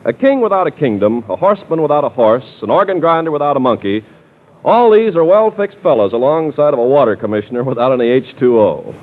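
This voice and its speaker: A man working as a cheery announcer, reading scripted pitches and narration in 1940s radio style. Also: sing-song, quick pace. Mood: upbeat, polished. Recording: tinny, band-limited 1940s radio broadcast.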